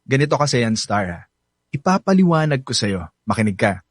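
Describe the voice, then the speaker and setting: Cocky Filipino playboy, animated pitch. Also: male voice, a little fast, no room sound, studio quality. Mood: smug, argumentative. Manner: boastful, teasing, code-switches into English. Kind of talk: Filipino radio drama acting.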